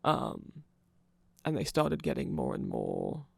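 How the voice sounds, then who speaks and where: A man's voice: low voice, huge pitch swings, takes it slowly, vocal fry, a little mellow, breathy edge, no echo. A man giving a rambling, nerdy, informal ASMR explainer, often hesitating and interrupting himself. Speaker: ASMR creator explaining band lore.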